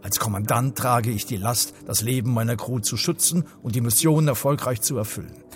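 An actor playing a pompous, macho spaceship commander in a German radio play, with grandiose, declamatory delivery. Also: male voice, mid-range voice, brisk, soft background music, lightly acted. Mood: grandiose, reflective.

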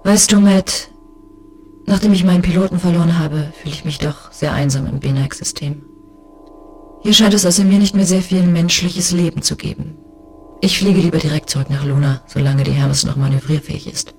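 A woman as a low-voiced, bitter inspector. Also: a little fast, darkish tone, faint music behind, lightly acted. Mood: coldly hurt. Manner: crisp, sardonic, reproachful. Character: bitter, lonely female space police inspector. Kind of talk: science fiction audio drama acting and narration.

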